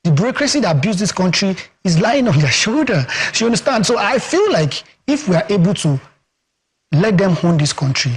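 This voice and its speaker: A man's voice: high-pitched, big pitch swings, fairly quick, husky. A Nigerian man with a Yoruba accent, a communications expert talking emphatically as a guest in a TV studio interview. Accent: Nigerian English, Yoruba. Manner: emphatic, rhetorical, leans on "guess what" and "you understand".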